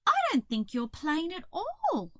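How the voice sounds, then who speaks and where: A woman's voice: high voice, wildly swooping pitch, unhurried, bright, forceful tone, no room sound, studio quality, voice pushed up, full character voice. A woman voicing a kind young female caterpillar in a children's story, sweet, sing-song and reassuring. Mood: kind, comforting.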